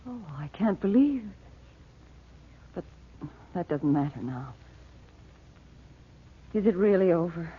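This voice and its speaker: A woman's voice: on the low side, sing-song, takes it slowly, long pauses, breathy edge, full character voice. An actress playing a frightened, anguished mother in a 1940s radio drama, with an emotional, pleading manner. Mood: afraid, pleading. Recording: muffled old radio recording.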